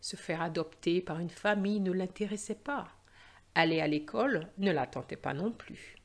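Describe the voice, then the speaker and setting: A woman teacher reading and presenting in a slow, expressive storytelling style for language learners. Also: on the low side.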